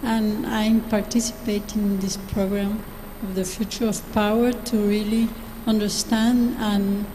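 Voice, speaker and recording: A woman from Guatemala giving a short calm speech on spirituality to an audience. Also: mid-range voice, a little slow, few pauses, background noise. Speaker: guest speaker. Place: large hall on stage.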